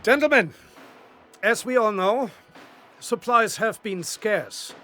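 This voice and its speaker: A man's voice: sits high, expressive, slow, noisy background. An actor playing a resolute, commanding German tank officer rallying his troops in a war game cutscene. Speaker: military commander. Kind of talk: dramatic game cutscene speech.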